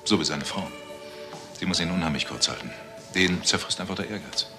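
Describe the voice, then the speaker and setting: A shady insider in a crime drama. His voice is very low and wryly familiar, with music clearly playing behind. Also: fairly quick. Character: shady, loyal, familiar male underworld insider. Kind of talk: German crime radio drama acting.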